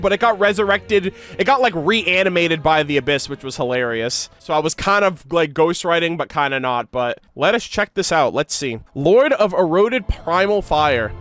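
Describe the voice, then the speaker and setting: A man hosting game lore commentary as a streamer, speaking in an enthusiastic, conversational manner. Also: fairly high pitch. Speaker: content creator hosting a game lore reaction. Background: background music.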